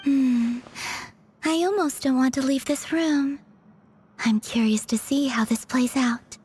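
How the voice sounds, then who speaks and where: A woman voicing a gentle, frail moon maiden in a video game, speaking softly and breathily with grateful candour. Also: high voice, takes it slowly, a little hiss or hum. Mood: lightly amused. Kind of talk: English video game voice acting.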